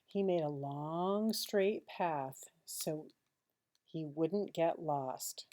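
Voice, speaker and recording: Mellow-voiced woman reading a storybook aloud. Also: deep voice. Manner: expressive, dramatic pauses and hushed asides. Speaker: teacher reading a picture book aloud. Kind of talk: children's storybook read-aloud with asides.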